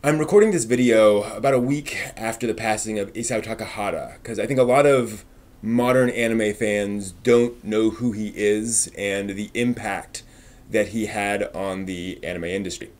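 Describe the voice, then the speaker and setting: A man presenting news as a live stream host in an informal way, with somewhat halting delivery. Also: fairly low pitch, darkish tone.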